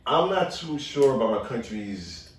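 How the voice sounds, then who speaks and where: A man from Liberia co-hosting a podcast in casual chat, getting animated when he argues a point. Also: mid-range voice, measured pace. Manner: animated, rising sharply when worked up. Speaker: podcast co-host. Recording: roomy echo, far from mic.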